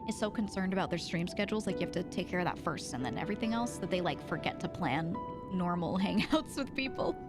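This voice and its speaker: A woman's voice: fairly low pitch, lively intonation, quick pace. A woman streamer chatting casually during a game stream, often laughing as she talks. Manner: laughs often while talking. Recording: loud music behind voice. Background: loud music.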